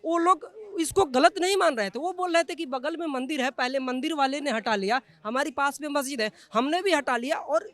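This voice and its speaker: A man's voice: very high voice, fairly quick, hardly any pauses, a bit bright. A young man giving his opinion in a street interview, explaining his points and mixing in English words. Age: young adult. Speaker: member of the public being interviewed.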